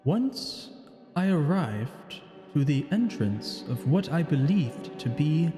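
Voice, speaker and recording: Man acting as ancient male vampire, long hall reverb, slow solemn delivery, weary and brooding, video game storytelling. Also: on the high side, sing-song, mellow. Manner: slow, solemn, formal theatrical phrasing. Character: weary thousand-year-old male vampire, lonely and brooding. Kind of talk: scripted in-character story narration over gameplay. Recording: echoing hall-like reverb, music behind. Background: music.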